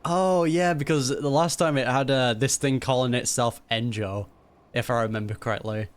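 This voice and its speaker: A man's voice: medium pitch, steady tone, normal speed, smooth. A man giving casual, amused reactions as a gaming streamer, with plenty of slang. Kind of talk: casual game reaction commentary.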